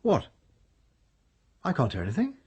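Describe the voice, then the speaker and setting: A man's voice: on the high side, huge pitch swings, normal speed, darkish tone, breathy edge, dull audio, heavily acted. An actor in a radio drama playing a dismissive, easygoing husband and father who is easily exasperated.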